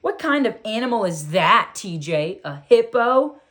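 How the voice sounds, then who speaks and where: A woman voicing a rude schoolboy bully in a storybook, loud, blunt and mocking. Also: mid-range voice, big pitch swings, a little slow. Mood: mocking, annoyed.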